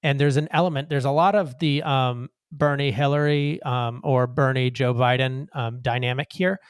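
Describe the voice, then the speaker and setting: A man co-hosting a political podcast, talking in a measured conversational way. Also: mid-range voice, steady tone, smooth, dry recording, clean studio sound. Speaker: podcast co-host. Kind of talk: political talk show.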